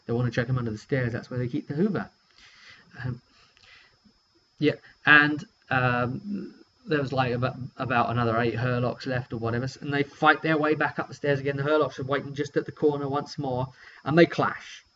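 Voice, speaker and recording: A man's voice: mid-range voice, fairly even intonation, a little fast, pushed and bright. British man, dull lo-fi recording. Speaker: tabletop game master recapping sessions. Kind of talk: casual vlog storytelling. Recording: dull lo-fi sound with faint hum.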